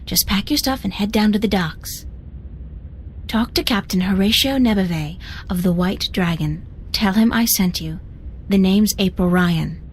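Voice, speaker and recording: A woman's voice: mid-range voice, unhurried, a little hiss or hum, lightly acted, rough recording. An actress playing a headstrong, blunt young woman in a video game, talking casually and directly.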